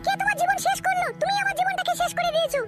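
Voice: extremely high pitch, fairly even intonation, racing. A performer voicing an aggrieved, defiant wife in an adult comedy cartoon, answering back with whining indignation. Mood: hurt, indignant. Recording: very loud music under the voice.

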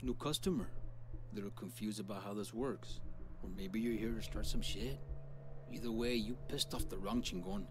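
A man's voice: on the low side, moderate pace, noisy background, lightly acted. Man playing menacing streetwise gang boss. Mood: hostile, scornful. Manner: streetwise, confrontational, peppered with curses.

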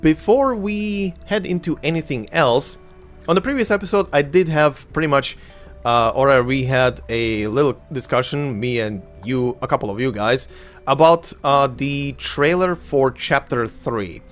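A man in his thirties whose first language is Croatian hosts a video game let's play, talking loosely with plenty of fillers and restarts. His voice sits at a middling pitch. Faint game music plays quietly behind him. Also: expressive, a little slow. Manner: chatty, rambling, self-correcting with many fillers. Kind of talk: casual gameplay commentary.

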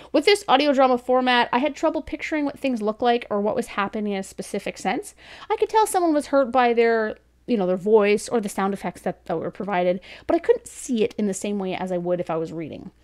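A woman in her thirties giving a casual, chatty review as host, informal in manner and talking straight to the camera. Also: fairly high pitch. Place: small indoor room lined with bookshelves.

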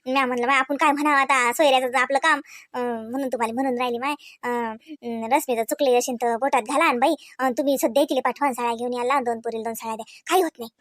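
A woman voicing an anxious, apologetic older in-law in a comedy cartoon, soft-spoken and halting, with a rural Maharashtra accent. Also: sits high, steady tone, no echo, clean studio sound. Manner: hesitant, halting, soft-spoken, full of ums. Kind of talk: Marathi comedy cartoon acting.

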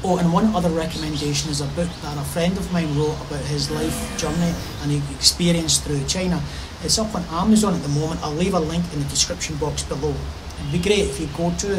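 A man's voice: a little high, steady tone, fairly quick, noisy background. Middle-aged man vlogging outdoors. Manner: enthusiastic, gesturing with his hands while he talks. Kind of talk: casual vlog to camera. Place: covered pavilion in a park, outdoors.

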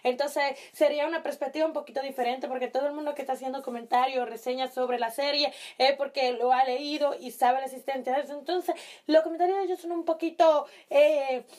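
A young woman from the Dominican Republic, raised in Italy, speaking Spanish with a mixed accent, chatting to camera in a small bedroom, often pausing to search for words. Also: high voice, fairly even intonation, a little mellow. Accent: Dominican Spanish with Italian influence. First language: Italian. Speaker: vlogger. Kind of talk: casual opinion chat to camera. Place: small bedroom with string lights.

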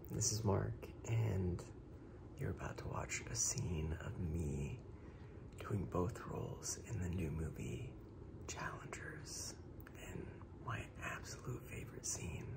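A man's voice: low-pitched, animated, slow pace, vocal fry, far from the mic, rough recording. A young man whispering an ASMR introduction to his video indoors. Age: young adult. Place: small room indoors, on a couch.

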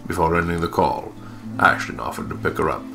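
Male let's play co-host, very low voice, casual and joking, reads aloud over loud music. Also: fairly quick, gravelly. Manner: joking, sweary asides. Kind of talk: casual game commentary and read-aloud narration.

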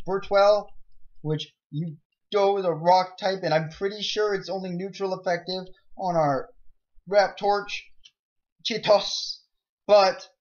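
A young man giving rambling, jokey commentary over a game he is playing, breaking into excitable outbursts. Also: high-pitched. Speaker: let's play gamer. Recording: dull lo-fi mic.

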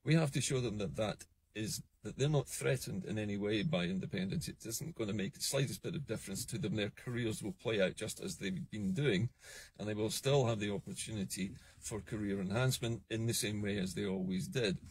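A man's voice: lowish voice, darkish tone, slight background noise. Earnest Scottish man talking in a car. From Scotland. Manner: earnest, measured, emphatic on key points. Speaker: political commentator. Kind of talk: solo political vlog monologue. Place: parked car, indoors. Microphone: phone camera at arm's length.

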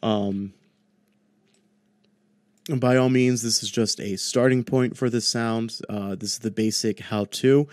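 A man's voice: medium pitch, fairly even intonation, a little slow, pauses often, no room sound. A man in his twenties hosting a casual audio tutorial, explaining things in a chatty, informal way into a close desk microphone. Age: 20s. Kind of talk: casual screen-recorded tutorial. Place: small home room, indoors. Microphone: close desk microphone on boom arm.

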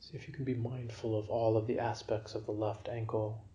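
A man guiding a yoga nidra relaxation for sleep in a gentle, unhurried, soothing manner. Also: on the low side, flat delivery, muffled recording. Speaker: meditation guide.

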